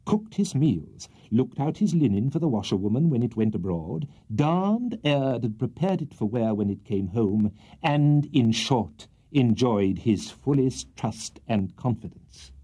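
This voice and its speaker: A man's voice: medium pitch, sing-song, unhurried, dark tone, slightly rough. Pompous barrister acted by a man, wildly swooping. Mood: declamatory. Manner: theatrical oratory, indignant and swelling. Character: pompous, bombastic male barrister haranguing a jury. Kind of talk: dramatised audiobook reading of a Victorian comic novel.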